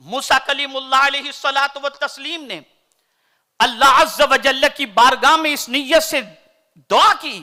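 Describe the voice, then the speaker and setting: A man from India, preaching a sermon in an oratorical style that swings between loud declaiming and soft recitation. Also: very high voice. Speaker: Islamic preacher.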